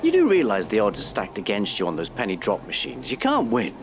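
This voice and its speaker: A man's voice: lowish voice, huge pitch swings, a little fast, faint music behind. A man acting in an audio play as a prim, bookish, easily exasperated character, pedantic and stuffy. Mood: disapproving. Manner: pedantic, stuffy, hesitant when flustered.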